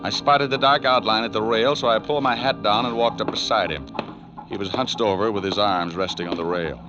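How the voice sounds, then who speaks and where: An actor playing a tough, wisecracking private detective in a 1940s radio drama, with an American accent and a wry, clipped delivery. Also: male voice, lowish voice, brisk, close to an everyday voice. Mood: hard-boiled, wry. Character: tough wisecracking male private detective narrating his case. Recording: muffled old 1940s radio recording, music behind.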